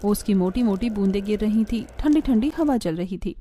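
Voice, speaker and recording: A woman's voice: mid-range voice, animated, normal speed, warm tone. A woman reading scripted narration for a cartoon family story, as the storyteller.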